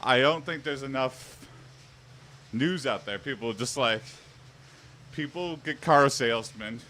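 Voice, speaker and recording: Bright-voiced man chatting on a home stream. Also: mid-range voice, unhurried, slight background noise. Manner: chatty, rambling, answers viewers. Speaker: livestream host. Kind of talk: casual livestream chat while exercising. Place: home room with an exercise bike. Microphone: standing mic a little way off.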